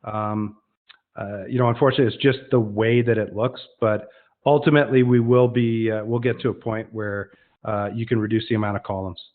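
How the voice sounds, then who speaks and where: A man's voice: fairly low pitch, fairly even intonation, brisk. A man presenting an informal online demonstration, explaining conversationally and often restarting his sentences. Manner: conversational, explanatory, frequently self-correcting. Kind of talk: informal webinar presentation with question and answer. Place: online web conference. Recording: dull, lo-fi online webinar audio.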